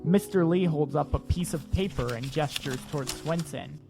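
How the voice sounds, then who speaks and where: A man's voice: on the high side, normal speed. A man reading scripted scene narration for an audio drama. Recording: clear music bed behind the voice.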